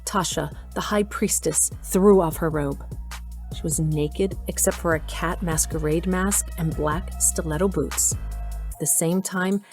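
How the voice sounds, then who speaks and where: A woman with a low voice narrates a scripted audio drama, telling the story over a clear bed of music. Her delivery is that of a storyteller reading prepared narration. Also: steady tone, a little slow, darkish tone.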